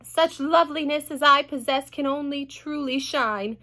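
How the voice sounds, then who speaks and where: Woman playing a vain, bossy aunt; loud, slow, high and bright. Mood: preening, bossy. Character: vain, boastful, bullying aunt, grown woman. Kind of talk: storybook reading.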